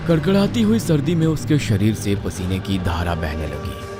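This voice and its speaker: Male horror narrator: low voice, dramatic scripted read, lively pitch movement, over music. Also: normal speed. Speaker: storyteller.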